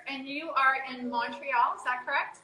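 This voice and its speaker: A woman's voice: high voice, fairly even intonation, moderate pace, reverberant, low-quality recording. An American woman hosting a live cooking stream from her kitchen, chatting in a rambling way with many restarts. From United States. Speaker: cooking show host. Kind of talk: casual live-stream chat. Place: home kitchen.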